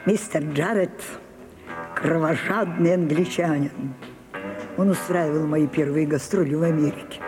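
An actress playing an imperious, willful older French stage star in a grand, declamatory radio drama style. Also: female voice, low-pitched, a little slow. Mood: reminiscing. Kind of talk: theatrical Soviet radio drama acting. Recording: music behind, mono radio broadcast.

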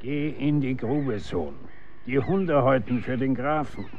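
A middle-aged actor playing a stern, worldly executioner and father in a historical radio play, measured and admonishing in archaic speech. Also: medium pitch, natural voice. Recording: dull sound, faint noise.

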